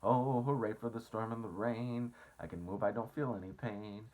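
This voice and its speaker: A man voicing a boastful, excitable centipede in a storybook read-aloud, loud and crowing. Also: fairly low pitch, moderate pace, voice pushed up, natural voice. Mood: jubilant, singing. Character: excitable boastful male centipede, singing and shouting gleefully.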